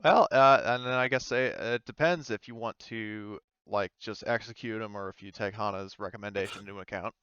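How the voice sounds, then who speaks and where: A man's voice: on the low side, steady tone, moderate pace, few pauses, fairly bright tone, clear, resonant tone, no room sound, dull audio. A man running a tabletop game as game master, narrating casually in a rambling, sweary, amused way.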